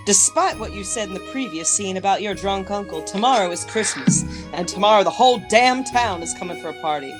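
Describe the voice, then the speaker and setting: A woman's voice: medium pitch, expressive, brisk, few pauses, natural voice. Woman playing a swooping, sardonic melodramatic wife. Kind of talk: comic radio play acting. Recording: music playing under the voice.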